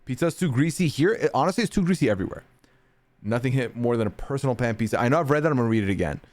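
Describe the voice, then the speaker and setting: Lively, chatty male streamer. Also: medium pitch, racing. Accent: mixed, sometimes heard as British. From North America. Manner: chatty, rambling, laughs often. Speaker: streamer reading chat. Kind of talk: casual chat.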